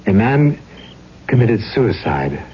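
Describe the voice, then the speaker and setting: A muffled, hissy old radio drama recording carries a mysterious, courtly gentleman with a low voice. His phrasing is theatrical and poetic, swooping from hushed depths upward, with a wistful air. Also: big pitch swings, slow pace. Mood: wistful, sombre. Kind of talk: 1970s radio drama acting.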